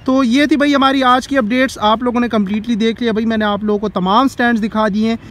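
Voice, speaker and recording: A man's voice: extremely high pitch, fairly even intonation, fast, a bit bright. A young Pakistani man with an Urdu accent, walking outdoors through a construction site and chatting enthusiastically about the progress, recorded on a phone camera. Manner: chatty and enthusiastic, repeats "bhai" and "dekh sakte hain". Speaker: vlogger. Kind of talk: walking tour commentary. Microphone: phone camera at arm's length.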